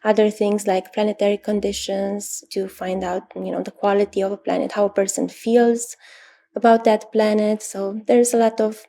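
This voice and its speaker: A woman in her thirties from Romania with a Romanian accent, an astrologer answering interview questions thoughtfully, with pauses and fillers. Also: medium pitch, flat delivery, vocal fry, slight background noise. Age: 30s. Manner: thoughtful, many pauses and fillers, soft laughs. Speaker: astrologer guest.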